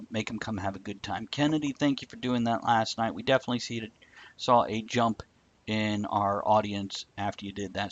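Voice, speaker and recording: A man's voice: medium pitch, fairly bright tone, highs cut off. A man hosting a live online talk show, chatting casually and rambling a bit with a self-deprecating humor. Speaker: podcast host. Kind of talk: casual chat.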